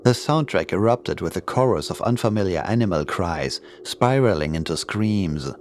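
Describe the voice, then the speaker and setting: A man's voice: low-pitched, lively intonation, normal speed, darkish tone, a little hiss or hum. A man reading a scripted horror story as its narrator.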